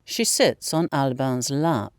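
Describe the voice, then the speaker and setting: A woman's voice: extremely low pitch, lively intonation, takes it slowly, no echo, studio quality. A woman reading stage directions as the narrator of an audio play.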